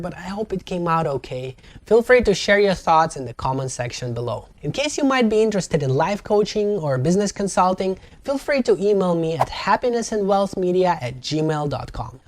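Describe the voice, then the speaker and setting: Young Ukrainian-accented man, earnest and reflective storyteller, slightly high voice, dry recording. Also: dry recording. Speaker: channel host. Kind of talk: personal story narration.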